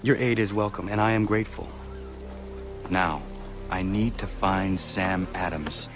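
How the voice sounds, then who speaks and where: An actor playing a solemn, determined young hero of colonial times in a video game, formal and measured. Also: male voice, low voice, fairly even intonation. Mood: solemn, restrained. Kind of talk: video game cutscene acting. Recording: game music behind the voice.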